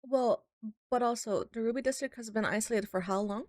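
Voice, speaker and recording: A woman's voice: on the high side, brisk, darkish tone, dry recording. Woman playing a tabletop game, dry sound. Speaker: player in a tabletop roleplaying game. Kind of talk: casual in-character tabletop game chat.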